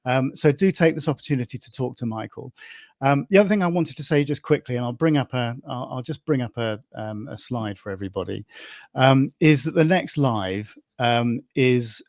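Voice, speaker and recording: A man's voice: mid-range voice, moderate pace, no echo, studio quality. A middle-aged man hosting a live nature travel stream, rambling along with many restarts.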